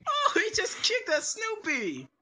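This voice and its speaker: A performer voicing an amused, excitable cartoon buddy who exclaims and giggles in a homemade character skit. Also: extremely high pitch, a little slow, music in the background, exaggerated voice. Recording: noticeable background noise.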